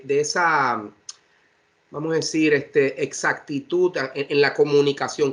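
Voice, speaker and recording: A man's voice: mid-range voice, fairly bright tone. A man hosting a podcast, asking long, hedging questions with many restarts. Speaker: host. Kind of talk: podcast interviewing.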